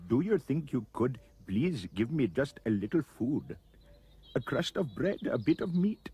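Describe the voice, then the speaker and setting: A man voicing a hungry giant anteater in a storybook, with a soft, drawn-out, mumbling manner. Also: medium pitch, sing-song, husky, voice pushed up, full character voice. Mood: pleading. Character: starving giant anteater, plaintive, later slyly menacing.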